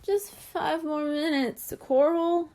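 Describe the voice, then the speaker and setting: A woman's voice: sits high, fairly even intonation, slow, warm tone, pitched above the performer's own voice. A woman voicing a sleepy young mermaid kitten girl who talks drowsily and whines a little. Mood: drowsy. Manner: drowsy, whiny.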